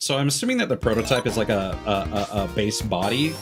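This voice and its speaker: Deep-voiced man streaming games over music. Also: animated. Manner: rambling, halting mid-sentence, thinking aloud. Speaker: game streamer. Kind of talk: casual live game commentary, chatting to viewers. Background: video game music.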